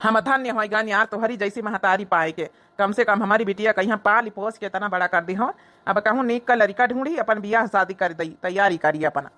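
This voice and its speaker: A woman's voice: mid-range voice, a little fast, fairly bright tone, lightly acted. A woman with an Awadhi accent voicing a trusting, affectionate middle-aged village father in a comic cartoon. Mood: grateful, trusting. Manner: affectionate, earnest.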